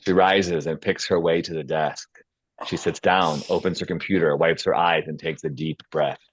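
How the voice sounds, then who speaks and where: Male narrator for a screenplay table read: low register, dry close sound, reads action and scene headings. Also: steady tone, moderate pace, breathy edge, no room sound, rough recording. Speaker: stage directions reader.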